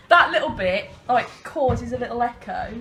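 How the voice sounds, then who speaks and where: A woman telling an anecdote in casual chat, excitable and giggly. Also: a little high. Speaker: pantomime cast member. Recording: echoey room with loud background noise.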